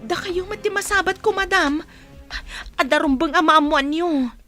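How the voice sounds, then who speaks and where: A woman's voice: very high voice, moderate pace, sharp tone, faint music behind. A woman playing a cautious, secretive young household helper in a radio comedy drama, earnest and urgent. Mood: hesitant, anxious.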